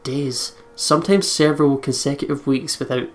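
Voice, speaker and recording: A let's play commentator reading a visual novel aloud in an expressive storytelling way, breaking off for sweary, joking asides. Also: mid-range voice, normal speed. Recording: music behind the voice. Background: game music.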